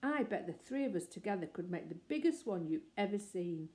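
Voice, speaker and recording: A woman's voice: medium pitch, lively intonation, a little fast, dark tone, natural voice. A woman voicing an eager, bossy young girl who hurries the others along.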